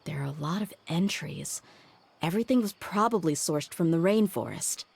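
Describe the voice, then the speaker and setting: A woman voicing a tough young female mercenary in a game cutscene, steady and loyal, thoughtfully reasoning things out aloud. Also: on the low side, normal speed, creaky, a little hiss or hum.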